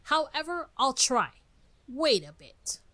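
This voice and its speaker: Querulous and blunt, this is an old gray wasp from a storybook, acted out by a woman. The pitch swings about in a lively way as he grumbles in plain rustic speech, captured close and dry. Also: takes it slowly, no room sound, heavily acted. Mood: reluctant. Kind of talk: dramatic storybook reading with recited verse.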